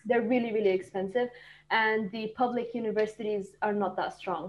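A young woman from Saudi Arabia, a native Arabic speaker, talks fast and easily in a casual podcast chat, full of likes and little fillers. The sound is slightly dull and lo-fi. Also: mid-range voice, fairly even intonation. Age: 20s. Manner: chatty, storytelling with many likes and fillers. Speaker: podcast guest.

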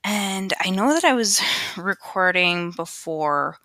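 A woman's voice: on the low side, animated, slow pace, sharp tone, dry recording. Chatty woman podcaster on a headset mic. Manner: chatty, rambling, filler-heavy. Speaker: podcast host. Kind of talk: casual solo podcast chat. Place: home, at a PC. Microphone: USB computer headset. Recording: dry close headset mic.

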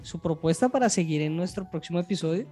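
A man's voice: fairly high pitch, expressive, quick pace, warm tone, faint music behind. A man with a Colombian accent hosting a podcast in a casual, informal review chat, phrasing things a little hesitantly. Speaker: podcast host.

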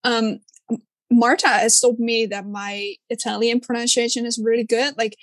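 A woman's voice: on the high side, level tone, normal speed, no echo. Young woman, searching for words. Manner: searching for words, hesitant with many fillers. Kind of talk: casual chat.

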